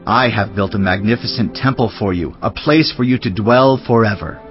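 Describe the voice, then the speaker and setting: A man's voice: fairly low pitch, animated, moderate pace, close to an everyday voice. An actor playing a solemn biblical king who addresses his people in a reverent, declamatory way, in a dramatized audio Bible. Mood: solemn, proclaiming. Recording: slightly dull audio under music.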